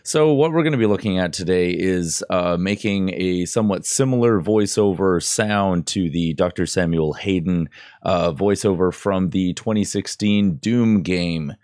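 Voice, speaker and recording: A man with a very deep voice talks through a tutorial in an informal way. He rambles and halts as he explains things. The sound is close and dry, from a studio mic at a desk. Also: a little slow, a little mellow, no echo. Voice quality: relatively low voice. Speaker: tutorial presenter. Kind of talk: casual screen-recorded tutorial. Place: indoor desk setup. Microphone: close boom-arm studio mic, headphones.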